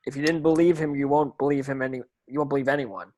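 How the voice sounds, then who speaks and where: A man's voice: medium pitch, steady tone, quick pace, a little mellow, natural voice. A man playing an earnest, modest teenage schoolboy hero in an amateur table read.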